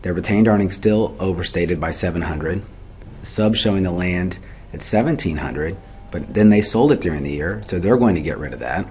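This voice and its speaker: A man with a very low voice delivers an instructional lecture. He works methodically through each step, asking questions and answering them himself. There is faint noise in the recording. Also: a little mellow. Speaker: accounting instructor. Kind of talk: explanatory lecture for a video tutorial.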